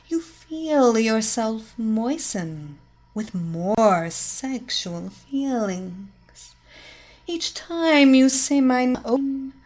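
A woman voicing a commanding female hypnotist villain who speaks in a slow, soft, lingering hypnotic cadence. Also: on the high side. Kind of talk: hypnosis audio story acting.

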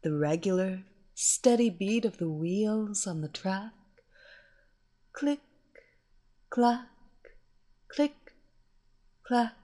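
A woman voicing a seductive adult female hypnotist with an American accent, speaking in a slow, hushed, breathy way. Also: mid-range voice, exaggerated voice. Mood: soothing.

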